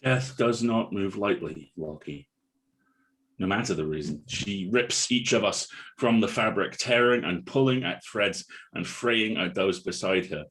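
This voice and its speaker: Deep-voiced man narrating a drama script. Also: a little slow, a bit bright, low-quality recording. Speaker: narrator reading script and stage directions.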